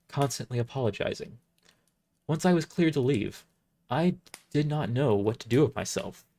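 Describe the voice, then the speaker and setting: Man voicing a gentle, kind young woman healer. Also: medium pitch, close to an everyday voice. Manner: gentle, caring. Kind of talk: amateur audio drama character reading.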